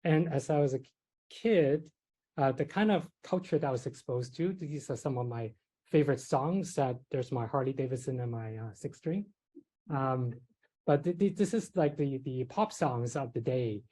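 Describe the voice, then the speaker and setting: A man's voice: medium pitch, normal speed, warm tone. A middle-aged man from Hong Kong giving a psychiatry lecture, telling personal stories in a conversational way with many fillers and light self-deprecating laughs. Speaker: psychiatry professor. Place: lecture hall.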